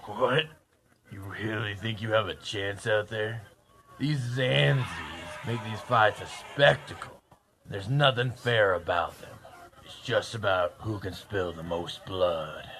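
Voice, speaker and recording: A man's voice: on the low side, fairly even intonation, slow, fairly bright tone, a little hiss or hum. A man playing a jaded, mocking, fatalistic slave gladiator in a radio drama. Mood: scornful. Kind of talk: old-time radio drama acting.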